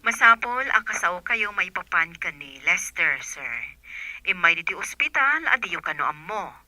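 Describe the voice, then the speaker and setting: A woman's voice: fairly low pitch, big pitch swings, normal speed, pushed and bright, no room sound, heavily acted. A woman acting as a doctor making a formal, insistent phone call in an Ilocano radio drama. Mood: businesslike. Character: businesslike female doctor phoning a patient's relative.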